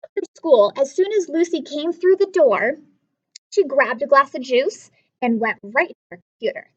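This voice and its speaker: A woman teacher reading a story aloud to children, expressively, pausing to instruct and question her young listeners directly. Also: high voice, animated, lo-fi sound. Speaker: elementary school teacher.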